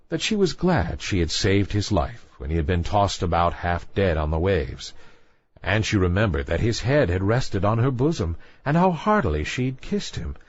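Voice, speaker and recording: A man's voice: lowish voice, a little mellow, breathy edge, muffled recording. A man reading a scripted bedtime fairy tale as a storyteller.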